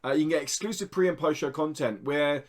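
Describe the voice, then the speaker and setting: A man's voice: fairly high pitch, fast. A British man hosting a podcast in casual, jokey chat full of slang. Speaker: podcast host.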